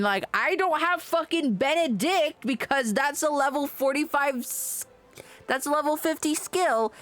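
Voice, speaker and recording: High-pitched, sweary woman gaming streamer. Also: a bit bright. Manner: sweary, exasperated venting. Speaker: livestreamer playing an online game. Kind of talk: casual gaming stream commentary.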